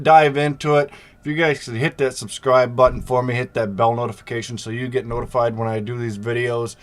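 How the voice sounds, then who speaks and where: A middle-aged man hosting a freight business channel, talking casually to viewers with a slight sore throat, plenty of fillers and easygoing asides. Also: medium pitch. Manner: conversational, many fillers, reassuring asides. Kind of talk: casual talk to camera.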